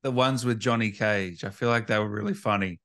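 A man's voice: lowish voice, fairly even intonation, brisk, a bit bright, no echo, studio quality. A man hosting a casual interview, asking questions in an informal, slightly tentative way. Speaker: interviewer, gaming channel host.

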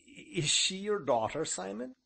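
A man playing a calm, stubborn, well-spoken young gentleman with a formal, firm manner. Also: high voice, a little slow, creaky, pitched above the performer's own voice, heavily acted. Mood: curious.

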